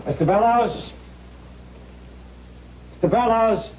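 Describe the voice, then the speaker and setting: A man's voice: high-pitched, takes it slowly. A dull old radio recording with faint noise carries a deferential underling in a mystery drama. He is folksy and hesitant, eager to oblige his superior. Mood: hesitant, obliging. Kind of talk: 1970s radio drama acting.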